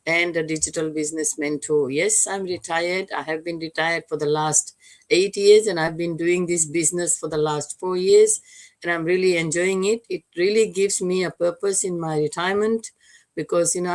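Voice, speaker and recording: An older woman with a Fijian accent, casually introducing herself as co-host of a livestream. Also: on the low side. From Fiji.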